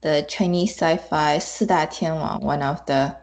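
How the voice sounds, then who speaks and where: A woman guest on a podcast, chatting casually and haltingly as she searches for words. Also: sits low, steady tone, a little mellow, a little hiss or hum. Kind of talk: casual conversation.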